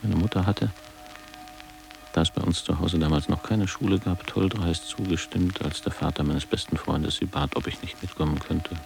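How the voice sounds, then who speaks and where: An actor playing a reflective ageing former boarding-school pupil, narrating his memories in a measured, literary way for a radio drama. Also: fairly even intonation, darkish tone, soft background music. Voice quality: deep, gravelly.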